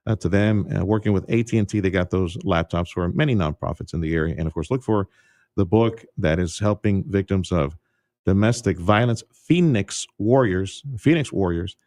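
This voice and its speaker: A man hosting a radio talk show, speaking in a relaxed, folksy way with stumbling restarts. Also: low-pitched, moderate pace, no room sound, clean studio sound. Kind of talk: casual radio interview. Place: radio studio. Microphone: studio microphone.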